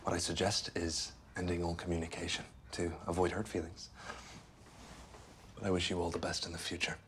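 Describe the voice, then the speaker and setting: An actor playing a self-absorbed, evasive boyfriend in a dark comedy film, speaking with hesitant, formal politeness in a naturalistic way. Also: male voice, deep voice, little pitch movement, fairly quick, slight background noise. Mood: politely detached.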